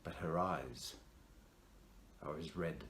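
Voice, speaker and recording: A man voicing a secretive, ominous woman from a theatre box office in a told horror story, grave and deliberate in her warnings. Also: very deep voice, fairly bright tone, a little hiss or hum, pitched below the performer's own voice, full character voice.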